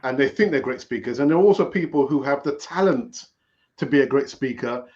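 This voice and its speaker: A man's voice: mid-range voice, expressive, fairly quick, darkish tone. A man giving advice in a casual panel chat, repeating key words for emphasis. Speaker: panel speaker. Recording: dull, muffled sound.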